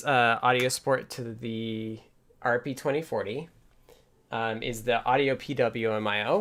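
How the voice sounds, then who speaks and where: A man casually talking through live coding and electronics as a stream host, halting as he thinks aloud. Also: medium pitch, a bit bright. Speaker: livestream host and software developer.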